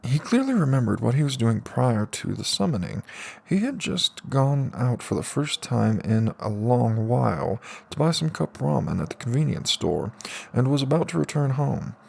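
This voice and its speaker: A man's voice: medium pitch, very animated, moderate pace, creaky, a little mellow, breathy edge. A man reading out scripted narration in the manner of an amateur audiobook. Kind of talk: scripted light novel narration.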